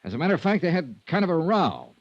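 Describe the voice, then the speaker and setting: An actor playing a dry, persistent insurance investigator, clipped and understated, in an old-time radio noir drama. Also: male voice, fairly high pitch, sing-song, quick pace, dry recording, lo-fi sound. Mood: probing. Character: dry, persistent male insurance investigator narrating his case. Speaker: detective lead and narrator. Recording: vintage radio drama recording.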